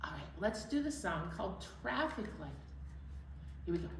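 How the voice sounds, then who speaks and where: A woman's voice: mid-range voice, very animated, normal speed, creaky, very noisy. A woman hosting a children's library storytime, speaking in simple, encouraging phrases for young children and leading sing-alongs. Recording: roomy, distant mic, music.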